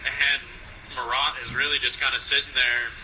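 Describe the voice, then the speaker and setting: A man's voice: mid-range voice, level tone, normal speed, bright, forceful tone. A man giving conversational analysis as a color commentator on a live hockey radio broadcast. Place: ice hockey rink broadcast position. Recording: noisy arena radio broadcast.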